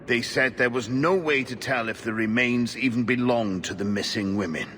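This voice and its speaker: A man acting a weary, stubborn older investigator in a video game, speaking in an earnest, formal, measured way. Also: medium pitch, fairly bright tone, a little hiss or hum, lightly acted. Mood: frustrated.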